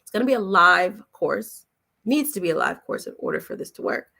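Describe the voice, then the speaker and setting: A woman's voice: fairly low pitch, wildly swooping pitch, a little fast, vocal fry, bright, forceful tone. A woman coaching on a casual livestream, upbeat and encouraging, often checking in with her listeners as she goes. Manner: checks in often with "okay?" and "all right?". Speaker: voice-over coach hosting a live Q&A. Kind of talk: casual livestream talk with promotion.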